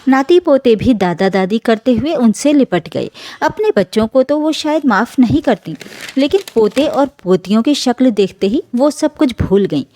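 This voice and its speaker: A woman's voice: on the high side, lively intonation, moderate pace, dark tone, dry recording. A woman reading a scripted, emotional family story as a dramatic narration.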